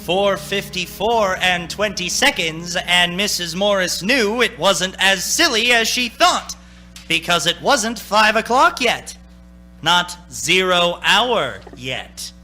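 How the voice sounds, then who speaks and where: Actor as high, very bright suspense narrator, male. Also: animated, slow pace, faint music behind, full character voice. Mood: suspenseful. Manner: theatrical, suspenseful. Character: suspenseful, deliberate storyteller of a radio thriller. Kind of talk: dramatic scripted narration.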